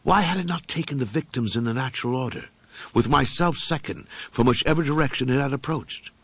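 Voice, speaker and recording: A man narrating a horror story as an audiobook reader, with grave, deliberate and dramatic phrasing. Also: on the low side, fairly bright tone, no room sound.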